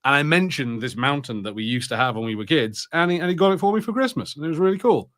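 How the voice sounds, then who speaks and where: A man co-hosting a podcast, chatting casually in a halting, hesitant way. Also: on the high side, expressive, fairly bright tone. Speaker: podcast co-host. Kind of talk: casual chat.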